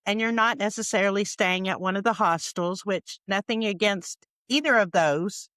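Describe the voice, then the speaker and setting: A woman's voice: medium pitch, moderate pace, fairly bright tone, dry recording. American woman hosting, dry sound. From United States. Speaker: podcast host. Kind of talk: casual conversational interview.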